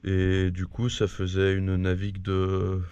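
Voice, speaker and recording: Young male kayaker vlogging from a van, low voice, easygoing with fillers. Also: completely flat delivery, takes it slowly, darkish tone, clean tone, no echo, dull audio. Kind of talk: casual chat. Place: inside a van at night.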